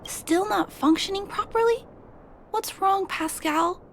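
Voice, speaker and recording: Very high-pitched earnest young girl. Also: slow, a bit bright, a little hiss or hum, full character voice. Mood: earnest, concerned. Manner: earnest, polite. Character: gentle, earnest young girl, devoted and resolute. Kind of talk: video game voice acting.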